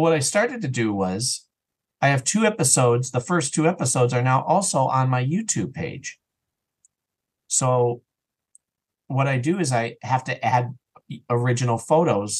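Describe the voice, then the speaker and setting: A man chatting casually as an interview guest, talking in an earnest, animated way about his creative work. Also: mid-range voice, breathy edge. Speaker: interview guest, audio drama creator and podcaster.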